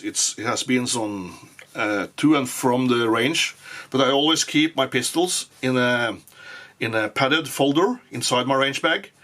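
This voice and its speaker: A man whose first language is Norwegian, giving a casual firearm review in English with frequent pauses and restarts. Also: mid-range voice, a bit bright. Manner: halting, many fillers and restarts. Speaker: gun reviewer.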